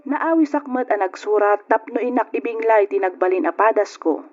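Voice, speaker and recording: An actress playing a heartsick letter writer who narrates a sad life story in an old radio drama. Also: female voice, mid-range voice, moderate pace, lightly acted. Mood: sorrowful. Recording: dull lo-fi radio, music underneath.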